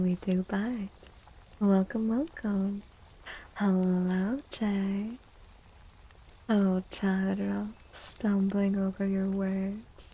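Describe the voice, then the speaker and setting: Female ASMR livestream host. Husky, breathy, often whispered, with a soft warm tone and gentle manner. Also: medium pitch, rough recording. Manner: gentle, unhurried. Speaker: livestream host and tarot reader.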